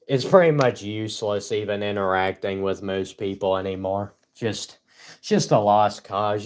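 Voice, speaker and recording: A gruff young man talks on his livestream in a cynical, rambling way. His voice sits on the low side, and he often chuckles between his points. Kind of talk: casual solo livestream rant.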